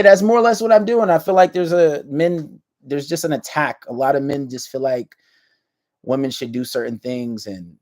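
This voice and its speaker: A man's voice: on the low side, darkish tone. Thirty-something guy hosting his livestream, easygoing drawl, keeps restarting his sentences. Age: 30s. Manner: laid-back, drawling, trails off and restarts. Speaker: livestream host. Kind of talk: casual livestream chat.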